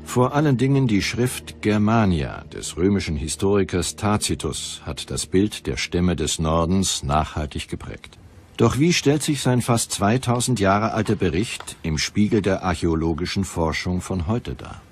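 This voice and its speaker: A man narrating a documentary from a script in a measured, deliberate way. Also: low-pitched, big pitch swings, a little hiss or hum. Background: music.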